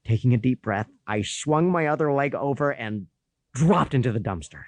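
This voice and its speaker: A man narrating a children's story in the first person, with an animated, boyish storytelling manner. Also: medium pitch, normal speed, dry recording. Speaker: audiobook narrator.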